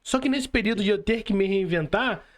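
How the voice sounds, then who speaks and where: A man's voice: high voice, brisk. A Brazilian man hosting a podcast, chatting casually in an agreeable, slangy conversational way. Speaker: podcast host.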